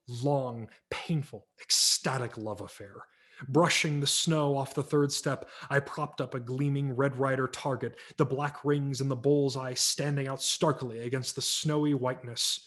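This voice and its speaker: A man, a professional audiobook narrator, giving a vivid dramatic reading of a humorous memoir over a video call. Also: mid-range voice. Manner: vivid, expressive storytelling.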